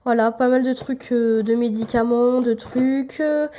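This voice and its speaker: A woman's voice: a little high, fairly even intonation, unhurried, dark tone. Congested young woman vlogging with a cold. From France. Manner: rambling, many fillers, gives a tour while tidying. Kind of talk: casual vlog chat. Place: apartment indoors.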